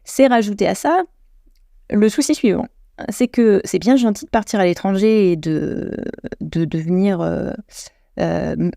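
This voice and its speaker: A woman's voice: medium pitch, a little slow, vocal fry, dark tone, dry recording. Chatty French woman, dry close sound. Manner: chatty, self-deprecating, many restarts and self-corrections. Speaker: podcast host. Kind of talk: solo podcast monologue.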